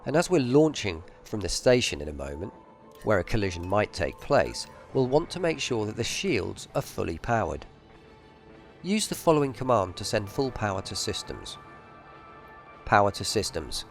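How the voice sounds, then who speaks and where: A man's voice: on the low side, big pitch swings, normal speed. A man narrating a scripted game tutorial in a methodical, explanatory way. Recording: faint music behind the voice. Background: faint music.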